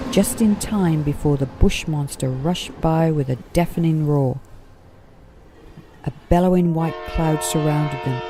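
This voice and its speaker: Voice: medium pitch, background noise. A narrator reading a children's story aloud from a script.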